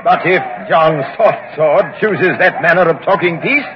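An actor playing a stern, haughty English baron leading a rebellion, in lofty archaic old-time radio drama style. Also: male voice, on the high side, a little slow, natural voice. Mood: grim, impatient. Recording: dull old radio recording, crowd behind.

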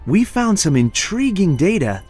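A man playing a polite, thoughtful character in a video game, husky and explaining things carefully. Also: high-pitched, big pitch swings, unhurried, darkish tone, soft background music, heavily acted.

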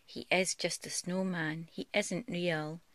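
A woman voicing a caring, protective father rabbit from a storybook in a gentle parental manner. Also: lowish voice, level tone, takes it slowly, dry recording.

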